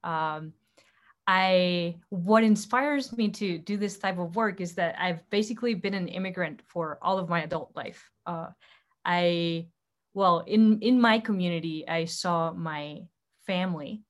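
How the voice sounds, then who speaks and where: Low-pitched woman from Costa Rica, native Spanish speaker. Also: unhurried. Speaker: panelist, startup co-founder. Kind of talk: panel discussion, personal account.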